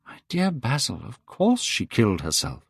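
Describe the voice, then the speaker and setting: A man's voice: mid-range voice, wildly swooping pitch, moderate pace, vocal fry, breathy edge, dry recording, clean studio sound, voice pushed up, exaggerated voice. A man reading aloud, voicing a vain, careless young man who sounds bored and dismissive. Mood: cold, insistent. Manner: bored, dismissive, rising to sharp cries.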